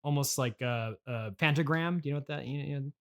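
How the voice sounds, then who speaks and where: A man with a mid-pitched voice hosts an improvised comedy interview. He talks haltingly and awkwardly, hesitating and circling back as he feels his way through each thought. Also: a bit bright, a little hiss or hum. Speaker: podcast host.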